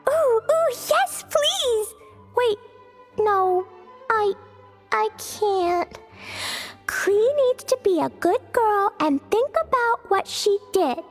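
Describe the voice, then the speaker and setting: A naive, mischievous little girl with a tiny, extremely high voice. The lines come slowly and innocently, like game dialogue, over faint music. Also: animated, heavily acted. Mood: torn, eager. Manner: childlike, innocent, hesitant. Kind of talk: animated video game voice acting.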